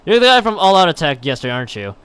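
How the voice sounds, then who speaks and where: A man plays an arrogant male pro gamer from a visual novel. He is curt and contemptuous, in a clear, fairly high voice with sharp swings of pitch. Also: very animated, racing.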